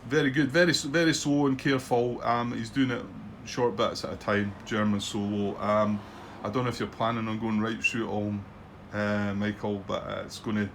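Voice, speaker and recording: A middle-aged Scottish man with a somewhat low voice chats through his board wargame thoughts. He rambles and hesitates, full of ums and false starts, with a soft background hiss. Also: level tone, normal speed, few pauses. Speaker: board wargame channel host. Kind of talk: casual commentary on a solo board game playthrough.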